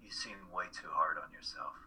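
A man playing a blunt, earnest college student in a play reading, husky and matter-of-fact. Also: rumbling low, steady tone, normal speed, bright, forceful tone, a little hiss or hum, exaggerated voice. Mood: earnest, probing. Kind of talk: dramatic reading of a stage play.

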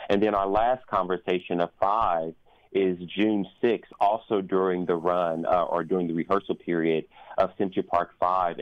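A man speaking as an interview guest in an earnest, reflective conversational way, often halting and repeating phrases as he thinks. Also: low-pitched, dry recording. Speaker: guest, performer, teacher and activist. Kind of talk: earnest interview conversation about community events. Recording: dull, lo-fi sound.

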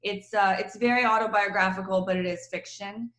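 A woman from Croatia, a novelist guest on a radio interview by phone, chatty and animated, laughing often. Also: mid-range voice, fairly quick, reverberant. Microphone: phone call.

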